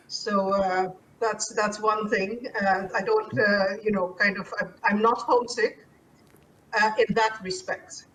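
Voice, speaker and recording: A woman's voice: medium pitch, fairly even intonation, slow, fairly bright tone, recorded from a distance, slight background noise, rough recording. A woman from Guyana, an author answering interview questions in a thoughtful, hesitant way with many fillers. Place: abroad in the Netherlands.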